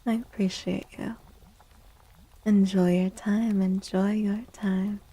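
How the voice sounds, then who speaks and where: Soft spoken asmr streamer lady, mellow n slow, breathy, drifts into whispers, close mic. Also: medium pitch, level tone, poor audio. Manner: gentle, unhurried, often repeats phrases. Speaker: ASMR live streamer, host. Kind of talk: soft-spoken ASMR chat with live viewers. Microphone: close microphone.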